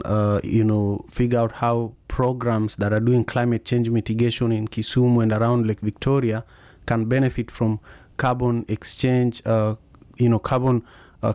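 Mellow, even-toned man talking informally. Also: mid-range voice, dry recording. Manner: halting, pads phrases with "you know". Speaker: group trip organizer and co-host. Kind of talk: informal explanatory talk.

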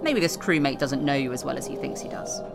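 An actress playing a wealthy female media star who flatters breezily, with music underneath. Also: rumbling low, fast, creaky, exaggerated voice. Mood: flattering. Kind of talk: audio drama acting.

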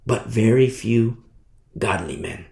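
A man preaching a sermon in an emphatic, repetitive style, swinging from hushed to loud. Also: on the low side, slow pace, vocal fry. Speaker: pastor. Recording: roomy echo.

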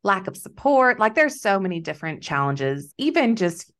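A woman's voice: mid-range voice, big pitch swings, normal speed, fairly bright tone. A woman in her thirties from Texas with a Texan accent, chatting as a podcast guest, upbeat and laughing often. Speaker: business coach, guest. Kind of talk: casual interview chat.

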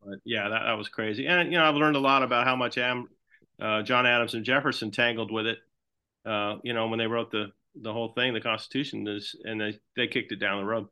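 A middle-aged man, a baseball team manager, answering questions in a relaxed, rambling way and repeating words. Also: lowish voice, brisk, clear, resonant tone. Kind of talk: casual interview answers.

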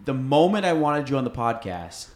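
Man, young adult, podcast host, casual rambling chat, lots of fillers, mid pitch, echoey room. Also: very animated, moderate pace, darkish tone. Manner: rambling, filler-heavy, jokey.

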